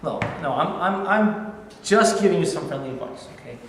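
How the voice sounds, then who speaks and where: A man reading as a pushy middle-aged uncle, coaxing and full of small hesitations, in a high voice. The sound is roomy, with a long echo from a bare rehearsal studio heard through a far camera. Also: expressive, moderate pace, a bit bright, a little hiss or hum. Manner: persuasive, hesitant with fillers. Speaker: actor in a staged reading. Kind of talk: staged play reading.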